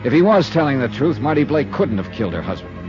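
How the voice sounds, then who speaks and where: A man's voice: mid-range voice, sing-song, quick pace, lightly acted. A man playing a hard-boiled investigator who narrates and questions people tersely in a 1950s radio detective drama. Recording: dull vintage radio recording with music.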